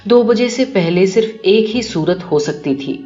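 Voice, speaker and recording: A woman reading a short story aloud in an expressive, scripted narration style. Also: mid-range voice. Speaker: audiobook narrator. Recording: muffled, roomy recording with music behind. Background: music.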